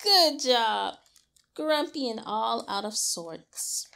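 A woman's voice: on the high side, lively intonation, takes it slowly, vocal fry, pushed and bright. A young adult woman reading a storybook aloud to young children in a theatrical, exaggerated way, coaxing them to join in. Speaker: children's read-aloud teacher. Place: small colorful classroom-like room, indoors.

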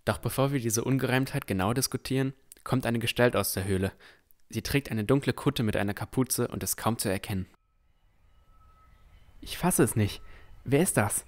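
A man playing a dreamy, curious young villager who narrates a fantasy audio drama in measured tones. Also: mid-range voice, close to an everyday voice. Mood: absorbed, watchful. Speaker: narrator and lead character.

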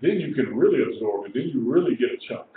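Male religious teacher, 60s, emphatic and repetitive, medium pitch, echoey small room, phone-like sound. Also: expressive, quick pace. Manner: emphatic, repeats phrases for emphasis. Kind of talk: informal sermon, teaching to a small group. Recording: echoey, tinny lo-fi webcam stream with hiss.

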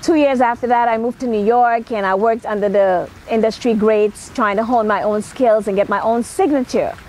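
A woman's voice: on the high side, normal speed, darkish tone, rough recording. A middle-aged woman with a Trinidadian accent giving an emphatic, motivational personal talk to camera outdoors. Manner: emphatic, punctuated with "okay". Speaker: celebrity hairstylist and makeup artist. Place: outdoor patio at night.

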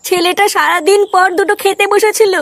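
নারীর অভিনয়ে খুব সরু গলার অভিমানী মা. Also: female voice, very high-pitched, steady tone, a little fast, background noise. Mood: reproachful, worried. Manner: reproachful. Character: protective, aggrieved middle-aged mother and wife. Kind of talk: comedy cartoon dubbing.

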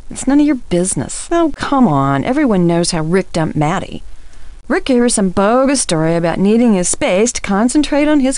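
A woman acting a sharp-tongued, dramatic TV studio insider in a video game, with a snippy, theatrical delivery. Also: mid-range voice, dry recording, close to an everyday voice. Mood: catty. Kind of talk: acted video game dialogue.

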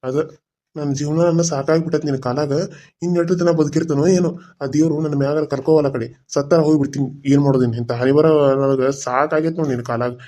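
Weary North Karnataka uncle, played by a man: a bit high, rapid, fretful. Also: fairly even intonation, warm tone, lightly acted. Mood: weary fretting. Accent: North Karnataka Kannada. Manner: fretful, fast, fed-up. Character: weary village uncle and husband.

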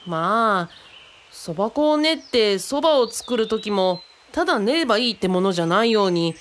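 A woman's voice: mid-range voice, expressive, moderate pace, a little hiss or hum, natural voice. A woman playing an easygoing, friendly young teacher in a radio drama, explaining things in casual, boyish speech. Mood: explanatory. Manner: casual explaining, bits of Kyushu dialect.

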